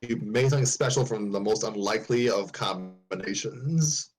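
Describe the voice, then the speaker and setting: A man's voice: on the low side, moderate pace, poor audio. A man hosting a casual podcast discussion about a story. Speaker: podcast host.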